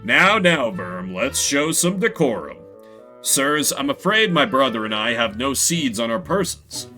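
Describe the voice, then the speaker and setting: A man voicing a calm, polite, protective older mouse brother in video game dialogue, with formal, measured phrasing. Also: mid-range voice, faint music behind.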